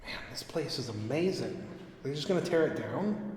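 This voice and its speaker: A man's voice: mid-range voice, expressive, normal speed, a crowd in the background, natural voice. An actor playing a skeptical, teasing man exploring an old building, with casual, reactive audio drama delivery. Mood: awed, creeped out. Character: skeptical, teasing male urban explorer with recorder. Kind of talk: naturalistic audio drama dialogue.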